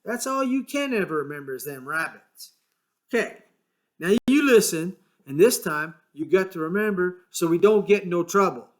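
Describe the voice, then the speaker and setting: A man voicing a small, irritable ranch hand who talks gruffly, swears and sounds fed up. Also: high voice, animated, moderate pace, pitched above the performer's own voice. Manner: gruff, exasperated, swearing, rough rural speech.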